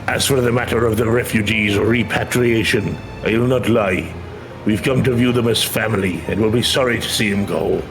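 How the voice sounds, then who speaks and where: A hearty male leader in a fantasy game , acted out by a man. His voice is on the low side, genial and declamatory. Music is clearly heard behind him. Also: moderate pace, close to an everyday voice. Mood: warm, resolute. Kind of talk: reading game dialogue aloud.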